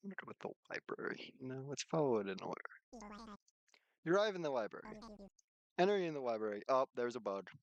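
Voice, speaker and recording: A man's voice: medium pitch, very animated, fast, pauses often, dry recording, lo-fi sound. A man streaming his own visual novel, switching between read-aloud narration and informal asides.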